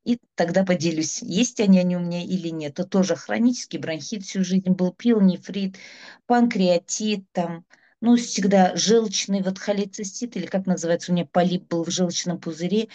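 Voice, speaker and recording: Low, mellow middle-aged woman. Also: moderate pace. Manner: chatty, rambling, listing ailments. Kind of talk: personal testimonial, casual. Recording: dull lo-fi sound.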